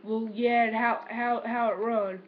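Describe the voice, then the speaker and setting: A teenage male prank caller talking casually in a halting, repetitive, confused manner. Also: very high voice, level tone. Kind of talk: prank phone call, casual improvised talk. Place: small room at home, indoors. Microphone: webcam or laptop microphone. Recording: dull, noisy webcam microphone.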